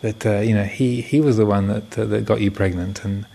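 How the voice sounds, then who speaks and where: A man's voice: lowish voice, steady tone, a little fast, gravelly, dark tone, slightly rough. A man doing a nervous, scheming young fisherman. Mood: conspiratorial. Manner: conspiratorial, hesitant.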